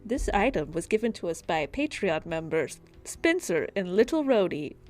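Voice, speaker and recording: A woman's voice: lowish voice, very animated, normal speed, darkish tone, soft background music. A woman game master narrating a tabletop game in a casual, conversational style.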